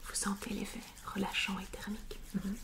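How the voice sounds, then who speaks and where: A woman whispering softly in a breathy, soothing way, explaining treatment like a practitioner in an ASMR medical roleplay. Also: mid-range voice, monotone, moderate pace. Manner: soothing, hesitant, explanatory bedside talk. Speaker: ASMR roleplayer as chiropractor and osteopath.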